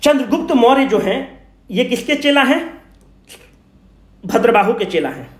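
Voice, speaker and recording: High-pitched Bihari man teaching, echoey room. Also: expressive, measured pace. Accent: Bihari Hindi. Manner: asks questions and answers them himself; colloquial, emphatic, repeats key points. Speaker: exam coaching teacher. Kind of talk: informal lecture for exam revision.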